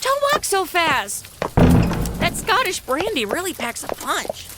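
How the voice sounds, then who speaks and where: A woman's voice: very high voice, big pitch swings, measured pace, over loud music, full character voice. A woman playing a brash, flippant young American in a comedy audio drama, casual and sarcastic.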